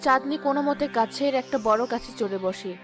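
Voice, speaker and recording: A woman's voice: high voice, quick pace, faint music behind. Woman narrating a scripted fairy tale.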